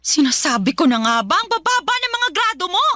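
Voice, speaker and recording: Casting: belittling Filipino mom, radio drama, piercing and animated, sharp-tongued. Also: female voice, extremely high pitch, brisk, no room sound, studio quality, exaggerated voice. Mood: angry, berating. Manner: sharp, nagging, confrontational. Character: stern, scolding, belittling Filipino mother of a teenager.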